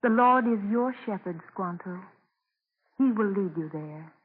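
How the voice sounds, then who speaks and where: An actress playing a gentle, devout mistress who offers tender, measured reassurance in a 1940s radio drama. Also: female voice, mid-range voice, animated, a little hiss or hum, natural voice. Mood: devout consoling. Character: gentle, devout English mistress, consoling and sure. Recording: muffled, narrow-band 1940s radio broadcast.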